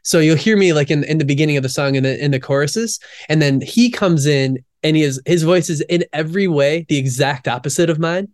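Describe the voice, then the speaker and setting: A young man, a musician guest, telling stories in a relaxed way with fillers and frequent laughs over a video call. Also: a little high, fairly quick, few pauses. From United States. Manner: relaxed storyteller, laughs often, many fillers. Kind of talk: casual interview chat.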